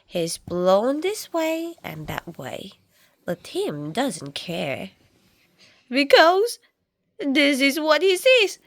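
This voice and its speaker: Female picture-book reader, dramatic delivery, bright high voice, close dry sound. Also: wildly swooping pitch, dry recording. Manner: dramatic, with shouts, whispers and drawn-out words. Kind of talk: animated read-aloud storytelling for young children.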